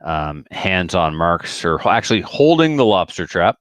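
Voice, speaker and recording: A man with a low voice talks casually on a podcast. He rambles in everyday language and likes to act out what people said. The recording is dry and close, with little room sound. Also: sing-song, normal speed, a bit bright, no room sound. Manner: rambling, colloquial, with acted-out quotes. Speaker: podcast speaker who organizes shooting matches.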